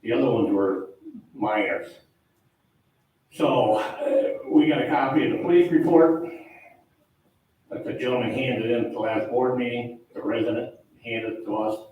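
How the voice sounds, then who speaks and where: A man, older, a town board official talking loudly and rambling at a public meeting. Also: medium pitch, normal speed, long pauses. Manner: loud, chatty, rambling. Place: town hall meeting room. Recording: echoey hall, distant mic, faint noise.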